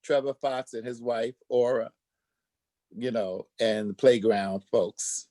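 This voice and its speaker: Older male guest, informal and halting delivery, dry sound with no room echo. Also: mid-range voice, warm tone. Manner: halting, searching for words. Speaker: interview guest, musician. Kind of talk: casual chat.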